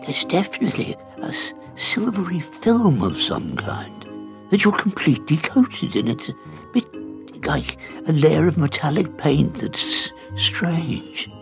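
A male storyteller voices a shy teenage girl who is quietly passionate about science. The pitch is raised and bounces eagerly, with stumbles and restarts, and a little gravel remains in the tone. It sounds like an audiobook character, earnest and a bit awkward. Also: very animated, a little slow, over music, full character voice. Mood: excited. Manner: hesitant, stammering, eager. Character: shy, clever teenage schoolgirl.

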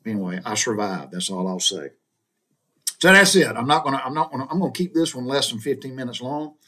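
A middle-aged man with a drawl talks through old memories in a loose, rambling monologue. He chuckles often and curses mildly, with a folksy, unhurried storyteller's manner. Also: medium pitch, expressive, bright, forceful tone, husky. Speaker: podcast host.

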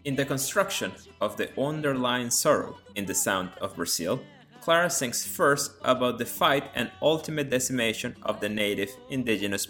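A man, a native Spanish speaker, narrating and explaining song lyrics as a host in a measured tone. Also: medium pitch, a bit bright. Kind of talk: scripted narration.

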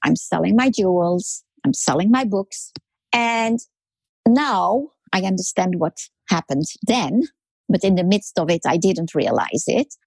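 A woman's voice: on the low side, animated, measured pace, gravelly, darkish tone, husky, no room sound. A woman in her sixties from France, a podcast guest telling her story in an emphatic way, repeating words for rhythm. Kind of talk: storytelling interview talk.

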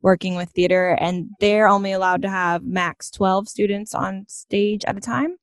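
A woman's voice: mid-range voice, fairly even intonation, moderate pace, no echo, studio quality. Female interviewer, casual and closely recorded.

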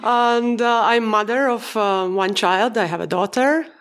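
A woman's voice: a little high, unhurried. A woman casually introducing herself as a guest in a podcast conversation.

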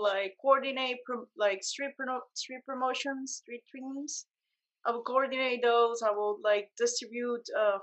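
A woman's voice: on the high side, a bit bright, lo-fi sound. Young woman with a Puerto Rican accent. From Puerto Rico. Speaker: guest, photographer and motion graphic designer. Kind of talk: casual interview answers.

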